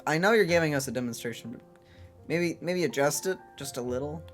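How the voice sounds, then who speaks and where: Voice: medium pitch, fairly quick, faint music behind. A gaming co-host giving casual let's play commentary.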